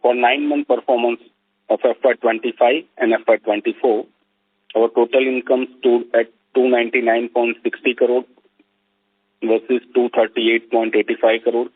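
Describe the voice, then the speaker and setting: Phone-line voice: male company finance executive, Indian accent, monotone and formal, reading prepared results in short phrases. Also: mid-range voice, moderate pace. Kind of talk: prepared financial results presentation on an earnings call. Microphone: telephone conference call. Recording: narrowband, tinny phone line.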